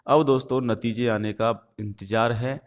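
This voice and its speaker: A man's voice: mid-range voice, fairly even intonation, moderate pace. A man giving viewers an informational update as the host of an online video channel. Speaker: YouTube host giving exam news updates.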